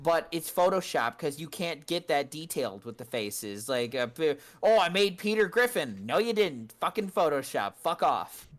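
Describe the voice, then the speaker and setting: A young man co-hosting a casual gaming chat, animated and swearing as he rants. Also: a little high. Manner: animated, swears, quick bursts when ranting. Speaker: podcast co-host.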